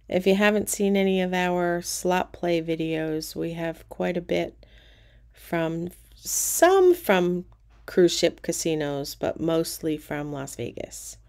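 Middle-aged woman, low gravelly voice, slow easygoing walk-through chat. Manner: relaxed, pointing things out as she walks. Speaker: casino tour vlogger. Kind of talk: casual walking tour narration. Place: cruise ship casino, indoors.

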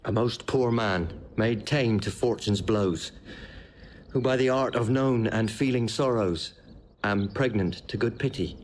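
An actor playing a gentle, loyal young nobleman disguised as a poor man, earnest and measured, in a Shakespearean audio drama. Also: fairly low pitch, slight background noise, natural voice. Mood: gentle, courteous.

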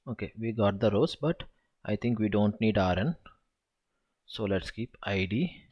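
A man's voice: fairly low pitch, lively intonation, unhurried, husky, dull audio. A man tutoring SQL in a screen-recorded walkthrough, thinking aloud and counting through each step.

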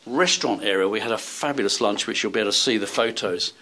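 A man's voice: on the low side, fast, pushed and bright, slight background noise. Man, travel vlogger, handheld camera, hotel walkthrough, rambling with fillers, faint room ambience. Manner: rambling, many fillers and restarts. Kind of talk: handheld walking tour narration. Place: hotel rooms and seaside street.

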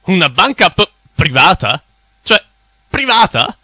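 A man's voice: high voice, very animated, a little slow, very noisy, exaggerated voice. An actor playing a naive young cartoon man who is easily shocked and speaks in a flustered, incredulous whine. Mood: bewildered. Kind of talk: animated explainer cartoon dialogue.

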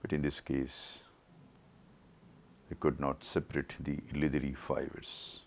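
Very deep, slow-talking male surgeon. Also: monotone. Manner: slow, measured, step-by-step explanation. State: recovering from a throat infection, voice not normal. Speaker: eye surgeon narrating his surgery. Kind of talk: slow surgical teaching narration.

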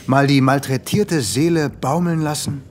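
A man playing a manipulative tempter in a comic audio drama, coaxing and persuasive as he makes his pitch. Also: measured pace, a little hiss or hum, close to an everyday voice. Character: smooth-talking, manipulative male tempter and buyer.

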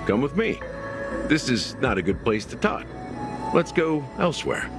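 An actor playing a wry, world-weary middle-aged detective in a video game, laid-back and sardonic. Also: male voice, mid-range voice, big pitch swings, unhurried, over music. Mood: evasive, sardonic. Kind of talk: video game cutscene acting.

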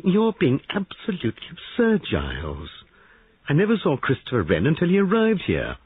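A man's voice: on the low side, sing-song, brisk, breathy edge, no echo, pitched above the performer's own voice. A man voicing an anxious, distraught young wife in an audiobook story, breathless and pleading, with stammers. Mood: indignant. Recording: narrow-band lo-fi radio-like sound.

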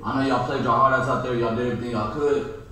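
An actor playing a team star who gives his teammates flat, backhanded praise in a comedy sketch. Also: mid-range voice, quick pace, far from the mic, close to an everyday voice. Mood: sarcastic. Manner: flat, mock-sincere. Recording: echoey room, from off-mic, faint noise.

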